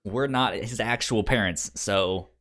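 A man co-hosting a podcast, casually discussing film in a halting way, often repeating syllables and words as he starts. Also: on the low side, a bit bright. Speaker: podcast co-host.

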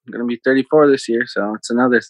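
A man's voice: mid-range voice, fairly even intonation, racing, no echo. A man in his thirties from Minnesota, giving casual, conversational answers as an interview guest. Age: 30s. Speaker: interview guest, casino team supervisor.

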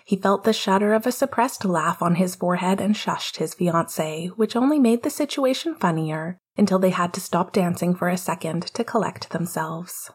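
A woman reading fiction aloud as a scripted narration, with a husky, breathy voice and a soft, gentle storytelling manner. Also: lowish voice, moderate pace, hardly any pauses. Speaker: podfic reader.

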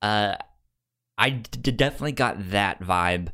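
A man chatting casually as a podcast host, halting and often repeating the starts of his words. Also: lowish voice, bright, forceful tone. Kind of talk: casual chat about comics.

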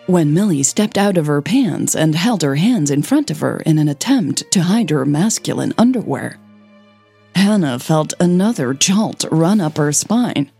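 A woman who narrates and hosts a fiction podcast, telling the story in a measured, dramatic way with deliberate pauses. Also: low voice, expressive, creaky, mellow, breathy edge, soft background music. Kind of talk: scripted audio fiction narration.

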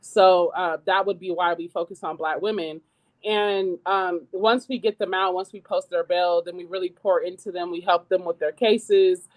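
A woman guest on a radio talk show with a squeaky voice, speaking earnestly as a community organizer, with many fillers and restarts. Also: fairly even intonation, normal speed, poor audio. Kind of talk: radio interview.

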